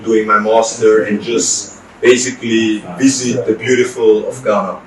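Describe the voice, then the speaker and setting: A young man from Cameroon, an exchange student doing his master's, answering interview questions in a measured, explanatory way. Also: fairly low pitch, fairly even intonation. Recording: roomy echo, distant mic, faint noise.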